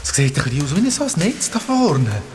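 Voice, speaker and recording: A man's voice: on the high side, sing-song, fairly quick, music in the background. A man playing a blunt, impatient boy adventurer with a Swiss German accent in a children's audio drama. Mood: uneasy, puzzled. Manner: blunt, exclamatory, repeats words for emphasis. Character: Chlöpf, bossy, impatient, hot-tempered boy adventurer.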